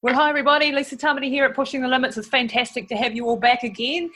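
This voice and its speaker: Chatty enthusiastic podcast hostess. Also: female voice, sits high, steady tone, rapid-fire, sharp tone. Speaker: podcast host. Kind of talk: podcast interview. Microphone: video call.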